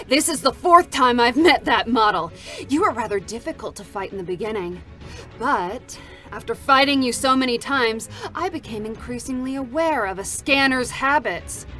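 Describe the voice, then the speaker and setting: A woman voicing a weary, battle-hardened female android deserter, speaking in a cold, flat, bitter way during a live concert reading. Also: fairly high pitch, normal speed, lightly acted. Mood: cold, mocking. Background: faint music.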